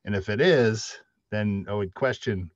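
A man's voice: on the low side, measured pace, dry recording. A middle-aged man giving his views emphatically as a panelist in an unscripted discussion over a video call. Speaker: panelist, audio drama writer. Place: small room at home, indoors. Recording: dull, dry video-call audio.

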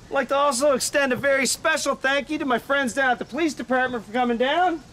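A pompous new park supervisor. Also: male voice, very high voice, quick pace, pushed and bright, slight background noise, low-quality recording. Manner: blustery, self-important speechmaking. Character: pompous shirtless new park supervisor, middle-aged man. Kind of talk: mockumentary comedy acting. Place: outdoors at a trailer park picnic.

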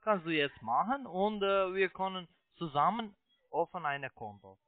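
Through a narrow, tinny phone connection comes a fairly high-voiced man with a foreign accent. He is a non-native speaker giving a sales pitch, halting often and starting his broken sentences over. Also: expressive, no room sound. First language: not German. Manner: halting, broken German with repeated restarts. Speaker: phone sales agent, investment scam caller.

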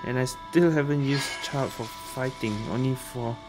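A woman's voice: a bass voice, fairly even intonation, moderate pace, low-quality recording. A woman giving casual let's-play commentary, giggly and muttering her reactions to the game. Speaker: game streamer. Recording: loud game music behind voice. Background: game music and sound effects.

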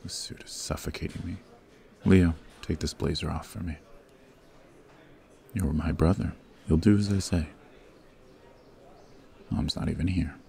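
A man playing a cold-hearted, commanding young mafia heir in a scripted ASMR roleplay, speaking sternly in a gravelly voice with clipped menace. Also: steady tone, measured pace, pauses often, warm tone. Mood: irritated. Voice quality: gravelly, very deep.

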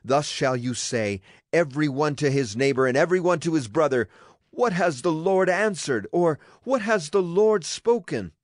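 A man's voice: a little high, expressive, normal speed, dry recording, clean studio sound. A man gives a solemn, declamatory dramatized reading of scripture as a Bible narrator. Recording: clear music behind the voice. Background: music.

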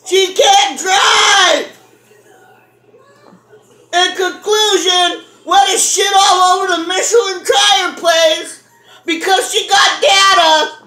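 Very high, shouting, exasperated woman. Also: steady tone, slow. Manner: profane, exasperated, dramatic; shouting, emphatic. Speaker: video host ranting to viewers. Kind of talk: loud comic rant, storytelling to camera. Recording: echoey room sound.

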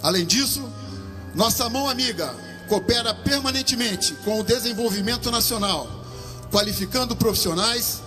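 A man with a Brazilian accent giving a slow, solemn ceremonial speech as an army commander at an outdoor podium microphone. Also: extremely high pitch, in a large hall, over music. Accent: Brazilian Portuguese. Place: outdoor parade-ground stand.

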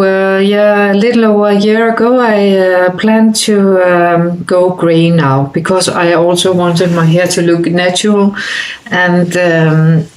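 A woman's voice: on the low side, low-quality recording. A woman in her fifties from Denmark with a Danish accent, talking casually to camera in her bedroom, hesitant with many fillers and restarts. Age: 50s. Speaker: vlogger. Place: bedroom indoors.

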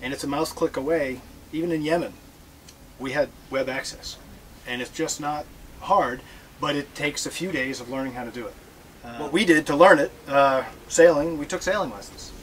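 A middle-aged man giving a relaxed, joking talk about sailing to an audience, standing aboard a catamaran in a marina with notes in hand. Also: a little high, moderate pace, slight background noise, low-quality recording. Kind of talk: casual presentation to an audience. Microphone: far camera.

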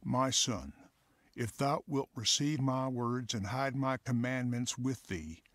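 A man's voice: mid-range voice, takes it slowly, no room sound, natural voice. Guy playing a fatherly counselor, earnest, preachy, dry close sound. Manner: earnest, teaching. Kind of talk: radio drama acting.

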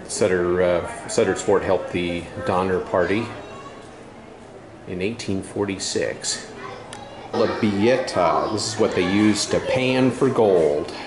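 A man in his forties hosting a casual travel vlog, slowly pointing out exhibits on a tour of an old fort. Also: deep voice, background noise. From California. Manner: slow, easygoing, pointing out exhibits. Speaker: vlog host. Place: outdoors at a historic fort and inside its rooms.

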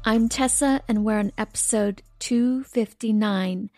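A woman's voice: fairly high pitch, measured pace, a little mellow, faint music behind. Woman reading a podcast intro. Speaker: podcast co-host. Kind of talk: scripted podcast intro read.

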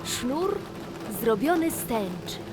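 A woman narrating a rhymed children's fairy tale in an expressive, deliberate storybook manner. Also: a little high. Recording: music behind the voice. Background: loud music.

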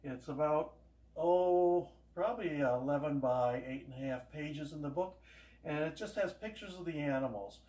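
A man's voice: fairly high pitch, normal speed, warm tone. An older man chatting casually while he cooks at home, telling rambling homespun stories, picked up by a camera across the kitchen. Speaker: home cooking vlogger. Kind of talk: casual cooking demonstration. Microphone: camera across the room. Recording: echoey kitchen, voice from far off.

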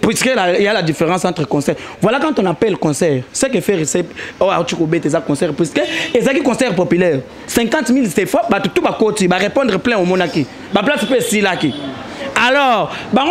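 A young man in a heated casual debate, talking animatedly and pressing his points, recorded on a handheld mic in a small indoor studio. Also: sits high. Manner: animated, argues with rising emphasis, mixes French and Lingala. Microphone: handheld mic held toward him.

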